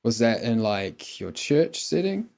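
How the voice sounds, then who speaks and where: A man hosting a podcast who introduces the show and asks the guest questions in a relaxed, conversational way. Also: mid-range voice, unhurried, vocal fry. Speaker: podcast host.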